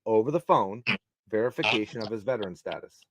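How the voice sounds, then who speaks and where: A man's voice: lowish voice, slight background noise, rough recording. A man on a live stream panel, speaking politely but firmly, pressing a request. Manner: measured, polite, insistent. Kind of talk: casual live stream chat.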